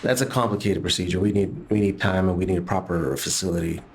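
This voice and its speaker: A man's voice: low-pitched, fast talker. A man chatting casually and jokily as a player in a tabletop game, swearing freely. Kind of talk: casual joking game chat. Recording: clear background noise.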